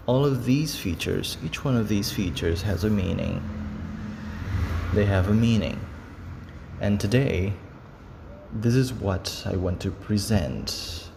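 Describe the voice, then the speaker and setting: A Brazilian man with a Portuguese accent talks to camera at a slow, unhurried pace, his low voice carrying a gravelly edge. He rambles and repeats phrases, filling gaps with ums and you knows. The voice has a long reverb tail with faint noise behind it. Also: warm tone. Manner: rambling, repeats himself, many fillers. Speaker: vlogger. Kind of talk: unscripted talk to camera.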